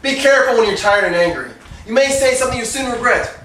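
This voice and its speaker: An actor playing an exasperated, sarcastic young lawyer husband, arguing drily in theatrical comic dialogue. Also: male voice, extremely high pitch, expressive, fast talker, fairly bright tone, recorded from a distance. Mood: weary, sarcastic. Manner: dry, argumentative, sardonic. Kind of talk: theatrical comedic stage dialogue. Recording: echoey room with background noise.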